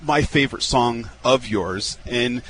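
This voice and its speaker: A man's voice: mid-range voice, unhurried, a bit bright, slight background noise, rough recording. A male radio host chatting upbeat and casual in a live interview at an outdoor fairground. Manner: upbeat, chatty, repeats words.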